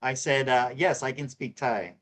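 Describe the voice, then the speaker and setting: A middle-aged man co-hosting a live tarot show in casual chat, halting and repeating himself with many fillers. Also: medium pitch, fairly bright tone. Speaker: co-host reading tarot cards.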